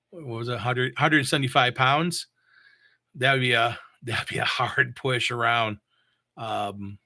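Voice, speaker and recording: A man giving casual, unscripted product talk as a gear reviewer, halting and repeating himself as he thinks aloud. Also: medium pitch, sharp tone. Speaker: mobile DJ reviewing audio gear.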